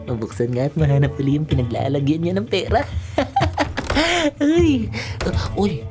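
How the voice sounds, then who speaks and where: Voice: mid-range voice, lively intonation, slow. An actor in a Filipino radio drama playing a sly, gleeful, scheming child who giggles and stammers when cornered. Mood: sneaky, excited. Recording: music bed behind the voice.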